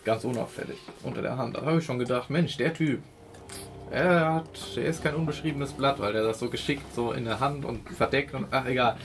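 A man's voice: mid-range voice, brisk, music in the background. A man playing a tabletop role-playing game, chatting casually and colloquially in character. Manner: colloquial, tags sentences with "ne".

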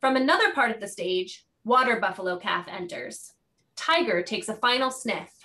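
A woman's voice: medium pitch, animated, moderate pace, pushed and bright. A woman narrating the stage directions of a play from a script.

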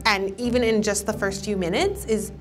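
A woman's voice: mid-range voice, fairly even intonation, moderate pace, over music. A woman in her thirties talking casually and emphatically as an upbeat vlog host. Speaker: vlog host and interior designer.

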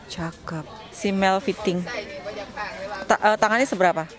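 A woman's voice: mid-range voice, measured pace, crowd noise. A woman in her thirties with an Indonesian accent, chatting casually as a vlogger, slangy and exclaiming, mixing in English words. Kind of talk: casual shopping vlog chat. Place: indoor clothing shops in a busy mall.

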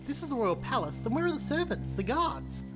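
An actress playing a curious, good-hearted living scarecrow in an audio drama, chatty and teasing. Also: female voice, sits high, very animated, fairly quick, over music, low-quality recording.

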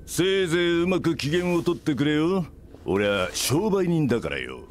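A rough-spoken middle-aged merchant from a video game, gruff and blunt. His voice swings widely up and down as he talks, with a slightly raised pitch. Faint ambient noise sits behind him. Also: very animated, moderate pace. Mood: mocking. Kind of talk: video game cutscene acting.

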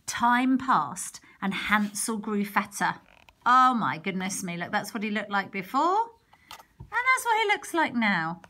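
A woman reading a picture book aloud to young children, expressive, with chatty exclamations and questions along the way. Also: mid-range voice, a little slow, slight background noise. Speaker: primary teacher reading a storybook to children. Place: indoors, picture book held over a wooden table.

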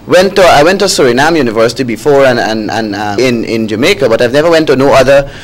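A man's voice: medium pitch, expressive, fast, poor audio. A young man with a Guyanese accent speaking as a student activist in a panel discussion, emphatic and repeating phrases for stress. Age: young adult.

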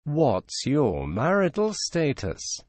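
A man reading short English phrases for learners, slow and clearly spaced. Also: fairly high pitch, huge pitch swings, a little mellow, no room sound.

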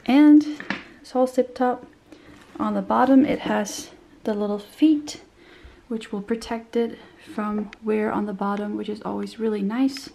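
A woman's voice: medium pitch, fairly even intonation, a little slow, gravelly, warm tone. A young woman reviewing a handbag in a chatty, descriptive way, a bit nasal as she recovers from a cold, recorded in a small room. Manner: chatty and descriptive, many fillers. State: slightly nasal after a cold. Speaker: bag reviewer. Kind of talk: casual product review.

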